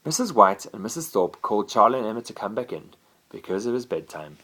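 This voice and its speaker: A man's voice: lowish voice, sing-song, a little fast, bright, forceful tone. A man reading a children's bedtime story expressively, as a father to his child.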